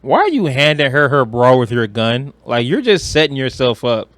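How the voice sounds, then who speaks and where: A man's voice: mid-range voice, sing-song, moderate pace, a bit bright, no echo. A young American man from Detroit co-hosting a podcast, talking movies casually in a slangy, profane, emphatic way. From Detroit, United States. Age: young adult. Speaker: podcast co-host.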